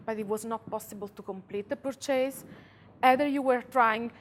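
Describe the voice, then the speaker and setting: A woman from Italy with an Italian accent, giving a conference talk and guiding the audience through a calm visualization. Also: fairly high pitch, slight background noise. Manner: calm, slow guiding voice, speaks to listeners directly. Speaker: conference speaker. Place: conference room.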